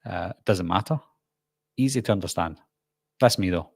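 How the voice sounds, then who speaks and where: A man's voice: low-pitched, moderate pace, vocal fry, breathy edge, no echo, clean studio sound. A man with a Glasgow accent, a YouTube host rambling casually through viewer comments on a live stream, full of ums and restarts. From Scotland.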